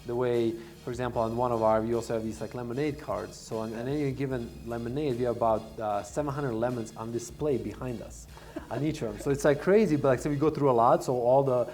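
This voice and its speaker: Fast-talking man from Serbia. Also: mid-range voice, steady tone, darkish tone, faint music behind. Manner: rambling, many fillers and restarts. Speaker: restaurant owner. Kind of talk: casual interview answers.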